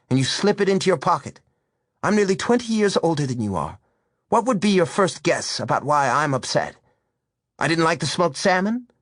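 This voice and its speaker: A man's voice: on the high side, no echo, voice pushed up. A man voicing a jealous older woman who is furious, biting and sarcastic, in a storybook reading.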